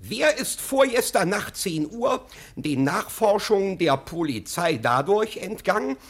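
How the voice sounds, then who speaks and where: Husky, pompous police official. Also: male voice, high-pitched, big pitch swings, a little slow, exaggerated voice. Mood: flustered, curious. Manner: stammering when flustered, officious, commanding. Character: pompous, flustered German police assessor, an easily irritated official. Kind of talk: scripted German radio play acting.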